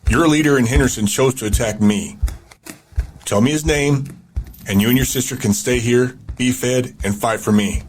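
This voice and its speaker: A man playing a coldly controlling community leader in an audio drama, calm and deliberate, posing as benevolent. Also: mid-range voice, a little hiss or hum, close to an everyday voice. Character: menacing captor and cult-like community leader, falsely benevolent.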